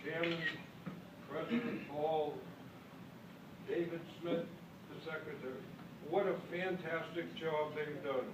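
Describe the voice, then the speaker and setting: A man's voice: a little high, fairly even intonation, takes it slowly. An older man from Boston with a Maine accent, telling a folksy, self-deprecating story in a speech at a club convention. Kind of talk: after-dinner speech, storytelling. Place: large hall with an audience. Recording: echoey hall, distant mic, loud background noise.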